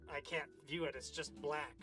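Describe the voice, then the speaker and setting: A performer playing Death as a petty, impatient customer in a comedy sketch, talking in a casual, halting, everyday way. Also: mid-range voice, steady tone, sharp tone, faint music behind, natural voice.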